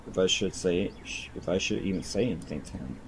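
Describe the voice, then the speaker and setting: A deep-voiced man reads game narration aloud as a streamer. He trips over and repeats phrases as he goes, with faint noise behind him. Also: fairly quick. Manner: stumbles and repeats words while reading.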